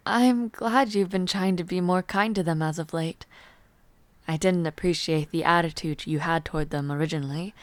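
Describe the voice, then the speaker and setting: Actress as refined villainess noblewoman, young, lowish voice, formal and playful, intimate roleplay delivery, very dry sound. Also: no echo, natural voice. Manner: poised, formal wording, playful and softly reflective. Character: refined young palace noblewoman, teasing, gently haughty villainess. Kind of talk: intimate audio roleplay acting, chatting to the listener.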